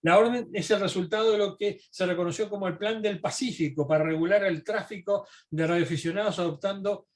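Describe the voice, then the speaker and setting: A man with a high-pitched voice gives an informal history lecture. He reads from documents and stops to comment, explaining in a halting way with small asides and checking questions.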